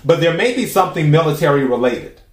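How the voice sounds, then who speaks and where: A man with a raspy voice hosting a podcast, giving a casual, chatty tarot reading and musing aloud with drawn-out pauses. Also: on the high side, animated. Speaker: tarot reader and podcast host. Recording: roomy echo.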